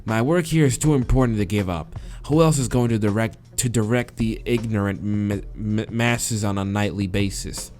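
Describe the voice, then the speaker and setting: A man's voice: on the low side, moderate pace, few pauses, darkish tone, close to an everyday voice. A man voicing a curt, condescending male creature who runs a library, impatient and haughty, in a visual novel. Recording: music behind the voice.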